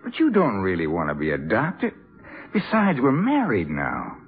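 Troubled young husband, swooping voice. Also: male voice, fairly low pitch, huge pitch swings, moderate pace, slightly rough, heavily acted. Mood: hurt, puzzled. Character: troubled, defensive young jazz trumpeter husband. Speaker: actor in radio drama. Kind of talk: 1950s radio drama acting. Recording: muffled, band-limited 1950s radio recording.

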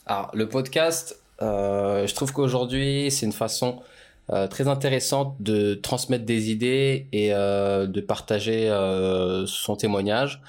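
Low-voiced man calmly explaining. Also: takes it slowly. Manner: calm, explanatory, many fillers. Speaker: podcast co-host, brand founder. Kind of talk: casual conversational podcast.

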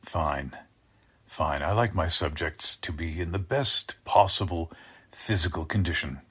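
A deep, husky-voiced mad scientist, courtly and gloating. He speaks slowly and with measured menace, in the manner of an old radio thriller villain. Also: exaggerated voice. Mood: silky politeness. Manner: measured, over-polite, menacing. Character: deranged male scientist, sinister, courtly, gloating captor. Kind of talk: 1940s radio drama acting.

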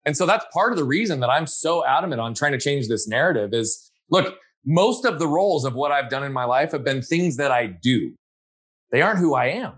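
A man's voice: medium pitch, expressive, fairly quick, a bit bright, breathy edge. Earnest man telling his story. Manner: earnest, reflective, frequent "right?" tags. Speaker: guest. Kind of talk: conversational interview.

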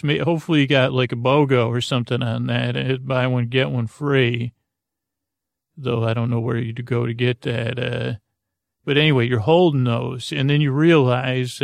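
A man's voice: gravelly, no room sound, clean studio sound. A man hosting a sleep podcast, rambling through a meandering intro with plenty of fillers and restarts. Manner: low-key, drowsy delivery. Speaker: podcast host.

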